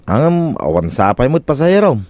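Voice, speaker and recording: A man's voice: mid-range voice, very animated, normal speed, darkish tone, natural voice. A man playing a chatty, teasing driver buddy with an Ilocano accent in a radio comedy drama.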